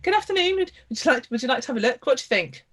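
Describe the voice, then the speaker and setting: A woman's voice: high-pitched, very animated, very fast, fairly bright tone, breathy edge, voice pushed up, exaggerated voice. Woman doing a flustered, indignant male painter. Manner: flustered, stammering, outraged.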